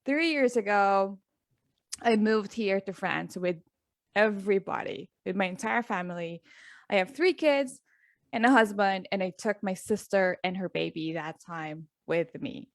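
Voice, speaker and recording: A middle-aged woman from the Philippines, a guest coach, telling her story openly in a relaxed interview, with many fillers and restarts. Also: medium pitch, unhurried, no echo, studio quality. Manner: earnest, open, with frequent fillers and restarts. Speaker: guest, life and business coach.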